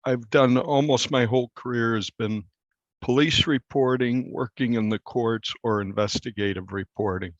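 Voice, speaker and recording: An American man in his sixties talking casually as an interview guest, plain-spoken and unhurried. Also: medium pitch, steady tone, no echo. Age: 60s. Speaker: veteran newspaper reporter, interview guest. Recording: slightly dull, lo-fi.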